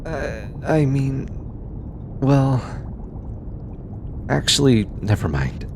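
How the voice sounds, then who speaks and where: A man performs a studious, gentle alien scientist in an intimate audio roleplay. His medium-pitched voice is soft-spoken and very expressive, with slow, patient phrasing that turns hesitant when he is flustered. A clear low rumble of noise sits behind him. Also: exaggerated voice. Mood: flustered, shy. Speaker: voice actor. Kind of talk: intimate scripted audio roleplay, addressing the listener.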